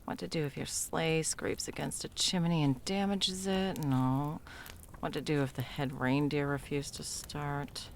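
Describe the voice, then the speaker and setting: Woman acting creaky, low-voiced, frazzled Christmas elf. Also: steady tone, a little slow, slight background noise. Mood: exasperated. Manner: muttering, sarcastic, flustered, often dropping to whispers. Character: frazzled, foul-mouthed, inept female Christmas delivery elf. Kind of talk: whimsical comedic ASMR roleplay, talking to herself and listener.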